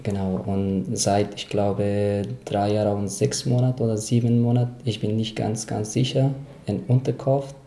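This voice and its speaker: A man from Afghanistan, a native Dari speaker with an Afghan accent, giving casual interview answers and haltingly searching for words. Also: lowish voice, little pitch movement, mellow. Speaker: interviewee, asylum seeker. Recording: roomy, echoing sound.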